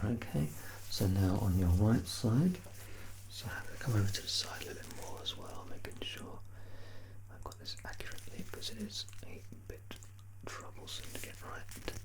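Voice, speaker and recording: A low, creaky voice doing ASMR personal attention, drifting between a soft murmur and a breathy whisper. The delivery is unhurried and reassuring, with gently playful asides. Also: low-quality recording. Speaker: ASMR performer playing a measurer.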